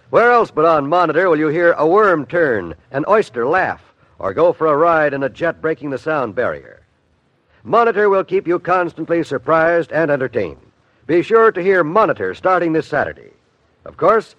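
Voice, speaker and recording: Animated, high-pitched 1950s male radio announcer. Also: no room sound. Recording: dull vintage radio recording.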